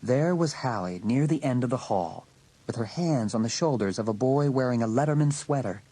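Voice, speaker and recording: A man narrates an audiobook drama from a script. His voice is husky, at an average male pitch. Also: animated, brisk, dark tone, rough recording.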